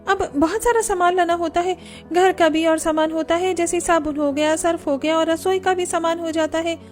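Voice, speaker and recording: Woman acting a high-pitched, plaintive young cartoon daughter-in-law. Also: fairly even intonation, moderate pace, faint music behind. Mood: flustered. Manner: plaintive, protesting. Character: aggrieved young daughter-in-law, sly and easily flustered.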